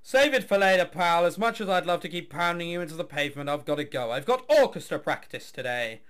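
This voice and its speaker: Tough young woman brawler in a visual novel, read by a man, cocky, dismissive, lively delivery. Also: on the high side, fast, clean tone. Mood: brusque, dismissive. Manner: defiant, dismissive. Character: tough, cocky young female street fighter in debt.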